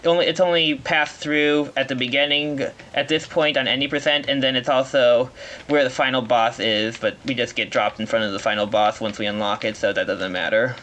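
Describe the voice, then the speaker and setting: A man giving live speedrun commentary, explaining as he plays in a halting way, often rephrasing mid-sentence. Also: medium pitch, fairly even intonation, a bit bright. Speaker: speedrunner playing at a marathon.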